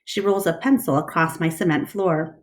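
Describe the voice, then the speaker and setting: A woman reading a children's book aloud in an expressive, deliberate storytelling style. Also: lowish voice.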